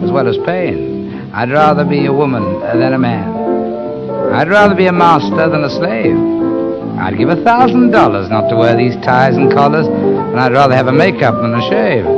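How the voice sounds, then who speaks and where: A man with an upper-class English accent performing a 1930s comic patter song. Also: mid-range voice. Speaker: comic singer. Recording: dull, lo-fi 1930s record.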